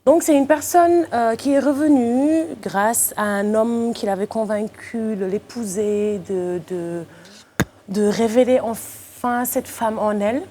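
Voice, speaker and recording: A woman in her thirties, a guest actress on a talk show, speaking French as a second language in lively, laughing chat. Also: on the high side, takes it slowly, slight background noise. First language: not French. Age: 30s. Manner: lively, laughs often, animated when joking.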